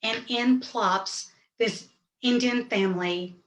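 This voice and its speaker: A woman's voice: on the high side, slow. Woman, 50s, from rural Georgia, first language Hindi, vivid storyteller, roomy echo. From rural Georgia, USA. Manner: vivid, first-person scene-setting. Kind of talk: personal storytelling. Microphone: video call.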